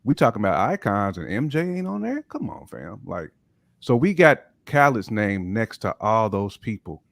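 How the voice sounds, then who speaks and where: Low-voiced American man, slangy banter. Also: big pitch swings, normal speed, vocal fry, no echo. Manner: slangy, opinionated, peppered with "you know what I'm saying". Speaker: panelist on a hip hop talk show. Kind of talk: casual banter.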